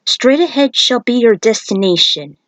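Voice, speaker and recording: A woman's voice: a little high, expressive, normal speed, fairly bright tone, no room sound, voice pushed up, rough recording. A woman voicing a solemn male thunder god who speaks in a grave, formal way, as in a storybook. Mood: solemn, instructive. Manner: grave, formal, stately phrasing.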